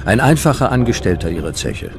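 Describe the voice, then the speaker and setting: A man with a very low voice narrates a historical documentary from a script. His intonation moves widely, giving the measured delivery a dramatic storytelling feel. Music plays behind him. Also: very animated.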